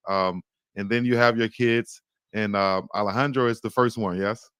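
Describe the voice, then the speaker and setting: A man hosting an interview show, asking brisk, leading questions. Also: fairly low pitch, steady tone, fairly bright tone, clear, resonant tone, no echo, studio quality. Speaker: show host. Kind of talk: casual interview.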